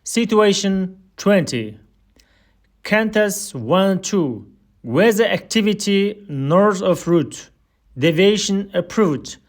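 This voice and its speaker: A man reading a scripted aviation listening drill as an instructor, giving air traffic control phrases with measured, deliberate enunciation. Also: high voice, animated.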